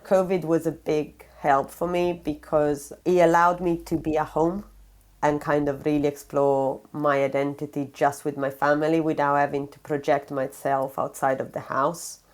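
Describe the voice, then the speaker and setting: A low-voiced woman in her thirties with an Italian accent speaks as a guest in an interview. She is a native Italian speaker, explaining personal experience in an explanatory conversational style. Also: flat delivery, normal speed. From Italy. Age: 30s.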